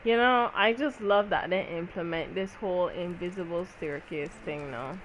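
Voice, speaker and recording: A woman's voice: fairly low pitch, moderate pace, a little hiss or hum, poor audio. A woman streaming a video game, commenting casually in slang and exclaiming as she reacts aloud. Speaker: gaming streamer.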